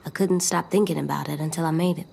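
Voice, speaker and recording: A woman playing a solemn, stubborn, anxious little boy survivor in a video game drama, speaking in a quiet, earnest, guarded way. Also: sits low, steady tone, fast, vocal fry, slightly rough, heavily acted. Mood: guarded, resolute.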